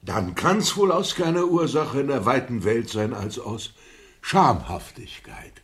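An actor playing a mild, simple-hearted gentleman in a period radio play, speaking in a hesitant, deliberate manner. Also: medium pitch, animated, close to an everyday voice. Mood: musing.

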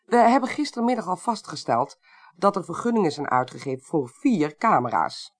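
A woman playing a sharp, composed, sceptical investigator with a Netherlands accent and precise, polite speech in a Dutch radio drama. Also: on the low side, very animated, normal speed. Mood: doubting, analytical.

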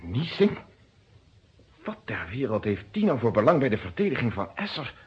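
Very husky, sardonic police inspector. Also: male voice, mid-range voice, big pitch swings, moderate pace. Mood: sceptical. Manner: formal, pointed, interrogating. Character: male police inspector, probing, sardonic, coolly official. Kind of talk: Dutch radio play dialogue. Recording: dull, lo-fi old radio recording.

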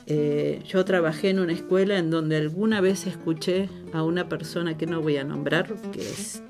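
A woman's voice: low voice, moderate pace. A woman with an Argentine accent giving her opinion conversationally, thinking aloud as she goes. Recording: plucked guitar music behind voice.

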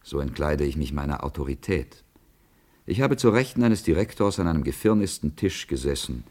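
An actor playing a proud, lonely, highly respected businessman weighed down by duty, in a grave, formal radio play monologue. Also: big pitch swings, a little fast, a little mellow, exaggerated voice. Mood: proud, burdened.

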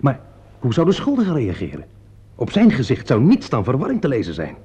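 An earnest young man playing detective in a radio drama. He sounds defensive and self-important, with a soft husky voice and lively swings of pitch. The sound is muffled. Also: fairly quick, full character voice. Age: young adult. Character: earnest, touchy young male amateur sleuth. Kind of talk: radio play acting in Dutch. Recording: dull lo-fi radio play recording.

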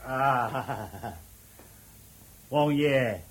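An actor playing a deferential, polite go-between greeting a lord in a Cantonese radio drama, with a Hong Kong Cantonese accent. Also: male voice, medium pitch, very slow. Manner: obsequious, polite. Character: deferential male go-between bringing traders to a lord.

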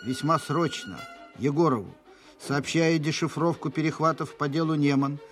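Man acting wartime dispatch reader, radio drama, slightly high voice, precise, impersonal, faint music behind. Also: normal speed, close to an everyday voice. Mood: urgent, official. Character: formal military reader of urgent coded dispatches. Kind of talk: scripted document reading in radio drama.

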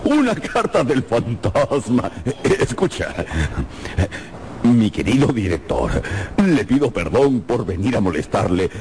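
A man's voice: medium pitch, very animated, measured pace, a little mellow, slight background noise. A man acting an irascible, imperious opera house director in a radio drama, with a commanding, theatrical manner. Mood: mocking, theatrical. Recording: radio drama over background music.

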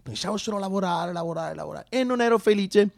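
A man playing an earnest, overexcited door-to-door communist proselytizer in a comic stage monologue, halting and repeating himself eagerly. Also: high voice, creaky, a little mellow, no room sound, clean studio sound. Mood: confessional. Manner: halting, stammering, repetitive, eager.

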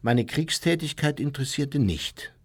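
Husky, matter-of-fact war criminal. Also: male voice, medium pitch, animated. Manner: measured, matter-of-fact, formal. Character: unrepentant Nazi war criminal recounting his past, adult man. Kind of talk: radio play first-person memoir narration.

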